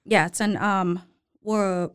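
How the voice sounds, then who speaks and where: A woman from Thailand with a Thai accent, a restaurant owner telling her story in a casual interview, speaking in halting, simple phrases. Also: mid-range voice, fairly even intonation, clear, resonant tone.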